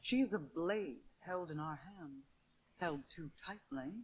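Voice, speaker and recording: A woman playing a regal, wary queen in a video game, speaking in a grave, measured, commanding way. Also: sits low, a little hiss or hum, poor audio. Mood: grave distrust. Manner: measured, solemn, stately. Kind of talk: dramatic game cutscene dialogue.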